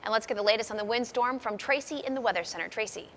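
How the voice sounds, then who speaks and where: A woman's voice: a little high, rapid-fire. Woman news anchor with faint background noise. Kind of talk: scripted TV news delivery.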